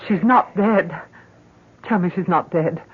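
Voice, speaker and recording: A woman's voice: fairly low pitch, takes it slowly, slightly rough, exaggerated voice. An actress playing a distraught, pleading middle-aged mother in a 1970s radio drama. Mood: desperate, pleading. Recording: tinny vintage radio, music behind.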